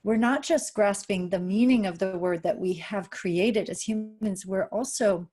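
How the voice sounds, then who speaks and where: A woman in a casual panel discussion speaks at a middle pitch. She muses in long, loose, reflective phrases. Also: a little slow, warm tone.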